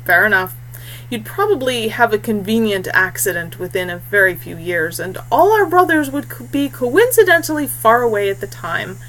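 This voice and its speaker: A woman voicing a calm, practical young male warrior with a wry streak, a loyal brother in a fantasy story. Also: medium pitch, normal speed, slight background noise, rough recording.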